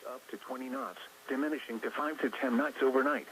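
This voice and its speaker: A man's voice: medium pitch, steady tone, fairly quick, a bit bright. An American male automated announcer reading a scripted marine forecast in a clipped synthetic way, heard through a small radio speaker. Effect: played through a small radio speaker. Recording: noisy weather radio picked up by camera.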